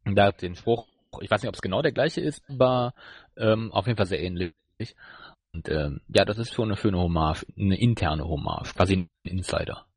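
A man with a slightly low voice co-hosts a podcast. He explains and reviews in a casual, chatty way, recorded dry with no room sound. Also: normal speed, husky, no room sound. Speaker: podcast co-host.

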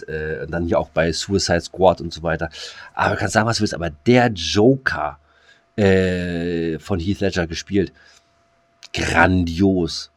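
Low-voiced man rambling casually. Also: no echo. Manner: rambling, many fillers and restarts. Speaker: podcast co-host. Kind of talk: casual chat.

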